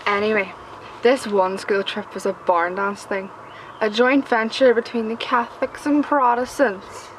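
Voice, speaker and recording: High-voiced, sardonic Northern Irish schoolgirl, acted by young woman. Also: steady tone, a little slow, a little hiss or hum, lightly acted, low-quality recording. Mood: bored, sardonic. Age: young adult. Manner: conversational storytelling, sweary, mimicking others. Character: sardonic working-class Northern Irish schoolgirl recalling teenage humiliation. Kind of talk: theatrical monologue.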